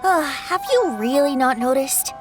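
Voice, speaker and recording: A playful young bard, fairly high and very lively in intonation. The game character lilts like a storyteller over music. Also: female voice, measured pace. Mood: teasing. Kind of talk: video game voice acting. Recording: music behind the voice.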